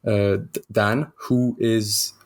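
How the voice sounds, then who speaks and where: A young man from Switzerland, a guest telling his story in a relaxed, hesitant way with many pauses. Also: lowish voice. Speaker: guest concept artist. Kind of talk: casual interview storytelling.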